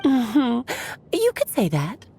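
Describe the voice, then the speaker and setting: A woman's voice: on the high side, huge pitch swings, slow, creaky, warm tone, slight background noise, heavily acted. An actress playing a playful, eccentric motherly sorceress in a video game, speaking in a breezy, coy, theatrical way. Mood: coy, teasing. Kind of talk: video game character voice acting.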